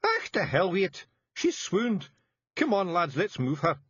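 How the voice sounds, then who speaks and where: Pint-sized Scottish fairy chief: high, gruff, hollering, thick brogue. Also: male voice, wildly swooping pitch, unhurried, no room sound, lo-fi sound, heavily acted. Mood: urgent, shouting. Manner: gruff, urgent, shouting in broad dialect. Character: small fierce male Scottish fairy leader.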